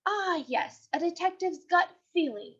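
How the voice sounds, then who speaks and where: A woman voicing a patient, gently encouraging male schoolteacher in a storybook. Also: very high voice, expressive, unhurried, pitched above the performer's own voice. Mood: encouraging, curious. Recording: dull, lo-fi sound.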